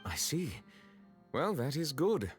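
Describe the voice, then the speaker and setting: An actor playing a formal, reserved gentleman of high office in a video game, measured, stiff and courteous. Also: big pitch swings, soft background music. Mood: awkward, polite.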